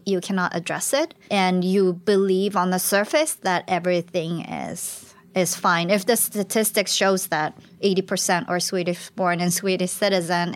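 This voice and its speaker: A woman's voice: on the low side, fairly even intonation, normal speed. A woman researcher explaining her work in an interview, thoughtful and explanatory, often restarting her sentences. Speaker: researcher guest. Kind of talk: academic interview talk.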